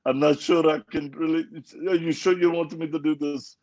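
A man's voice: a little high, flat delivery, normal speed, a little mellow, dry recording, lo-fi sound, pitched above the performer's own voice. A man doing a hesitant, deferential male interpreter in a mock Indian accent. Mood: doubtful. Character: male Iraqi interpreter, hesitant, overly polite.

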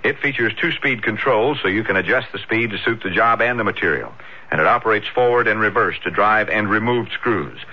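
A man's voice: lowish voice, brisk, breathy edge. A man reading a scripted radio advertisement as a commercial announcer. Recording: muffled old radio broadcast.

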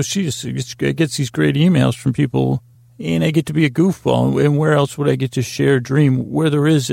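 Very creaky, mellow man. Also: mid-range voice, dry recording, studio quality. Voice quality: gravelly, creaky. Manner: meandering, halting, self-deprecating. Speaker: podcast host. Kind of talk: rambling bedtime monologue to help listeners sleep.

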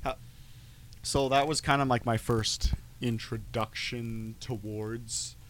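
A man's voice: mid-range voice, steady tone, slow, a bit bright. A young man co-hosting a podcast, telling a wandering story full of ums and restarts in casual chat. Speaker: podcast co-host.

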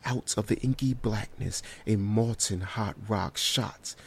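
A man's voice: lowish voice, unhurried, gravelly, husky, full character voice. An actor playing a haunted survivor in a horror audio drama, recounting his ordeal in a hesitant, solemn and descriptive way. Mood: haunted awe. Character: haunted male survivor recounting a harrowing night.

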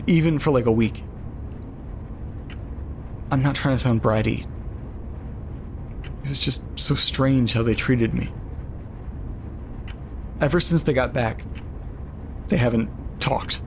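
Faint noise sits behind an uneasy, lonely man in an audio drama. He reads eerie letters aloud as a monologue, in a middle-pitched voice. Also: slow, long pauses. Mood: bewildered, troubled. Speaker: narrator.

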